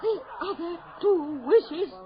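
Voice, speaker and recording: A woman's voice: extremely high pitch, expressive, slow pace, heavily acted. A woman playing a desperate, grieving middle-aged mother in an old-time radio horror drama, pleading and quavering. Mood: feverish hope. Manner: quavering, pleading, melodramatic delivery. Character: grieving, desperate mother, frantic with wishful hope. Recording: dull lo-fi radio drama recording.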